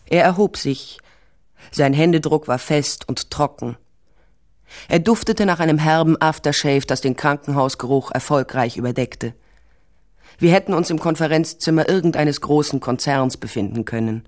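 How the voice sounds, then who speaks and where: A woman's voice: deep voice, normal speed, darkish tone, lightly acted. An actress playing a blunt private investigator who narrates her case with dry sarcasm in a crime radio drama. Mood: dry, sardonic. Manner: dry, sardonic.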